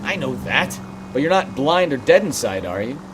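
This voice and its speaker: An actor playing a jocular, mock-dramatic detective partner in a video game, teasing and theatrical. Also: male voice, medium pitch, big pitch swings, moderate pace, natural voice. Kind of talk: scripted video game dialogue. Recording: car noise behind the voice.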